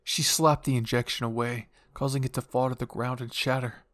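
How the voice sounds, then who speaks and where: A man's voice: medium pitch, little pitch movement, fairly quick, a bit bright, dry recording, studio quality. A man reading scripted, dramatic fan fiction as narrator.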